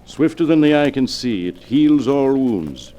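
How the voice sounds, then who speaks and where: An actor playing a calm, cunning male scientist in a 1940s radio drama, speaking in a polished, measured way. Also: mid-range voice. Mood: explaining.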